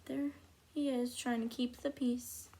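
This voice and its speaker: A woman reading a picture book aloud to children, expressive and deliberate, pausing to think aloud about the story. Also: a little high. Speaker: read-aloud storyteller, teacher.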